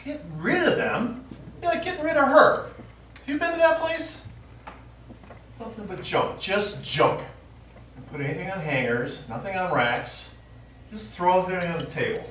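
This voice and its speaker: Man playing elderly forgetful war veteran, wistful rambling storyteller, lively swooping intonation, stage play, very reverberant distant recording. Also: sits high, moderate pace, pauses often. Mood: indignant. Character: nostalgic, forgetful elderly widowed father and Army veteran. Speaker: stage actor. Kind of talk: live one-act stage play acting. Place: small theatre stage. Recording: very echoey theatre, distant mic, faint noise.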